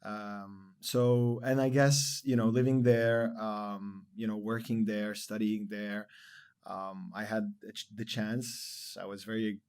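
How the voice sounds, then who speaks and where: A man in his thirties from Lebanon, a podcast guest talking in a relaxed, rambling way with many fillers. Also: on the low side, slow pace, clear, resonant tone. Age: 30s. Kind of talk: casual interview chat.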